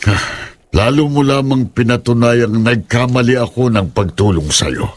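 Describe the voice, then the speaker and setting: An actor playing a stern, resolute old folk healer with reproachful, firm delivery, a man with a gravelly voice. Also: medium pitch, normal speed, lightly acted. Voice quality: gravelly, husky.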